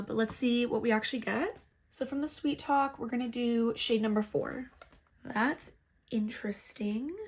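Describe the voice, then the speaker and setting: A woman with a stuffy, congested nose, chatting casually and with self-deprecating humour through a makeup tutorial, recorded indoors at home on a laptop microphone. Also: on the high side. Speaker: beauty vlogger. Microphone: laptop built-in mic.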